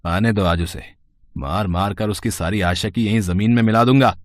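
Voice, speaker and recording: A man's voice: on the low side, moderate pace, no echo, clean studio sound, natural voice. A man playing a drunken, abusive husband and father in an audio story, scolding in a crude, accusing way. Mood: angry, accusing. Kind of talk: acted dialogue in an audio story.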